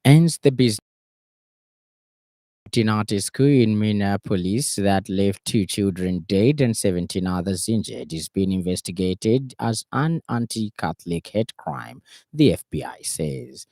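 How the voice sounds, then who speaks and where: A man's voice: fairly low pitch, unhurried, mellow, dry recording, clean studio sound. A man with a Zambian accent reading a scripted radio news bulletin. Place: radio studio.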